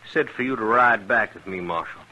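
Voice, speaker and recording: A man's voice: fairly low pitch, brisk, pushed and bright. A man acting as a surly, terse trail cowboy with dry humor in a 1950s radio western. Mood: blunt, guarded. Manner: terse, challenging. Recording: noisy old radio recording.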